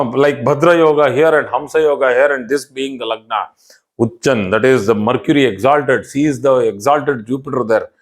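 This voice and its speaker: A man with an Indian English accent, a native Kannada speaker, lecturing an astrology class. He explains step by step and leans on key terms, often saying them twice for emphasis. His voice sits at an ordinary male pitch. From southern India. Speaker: astrology teacher.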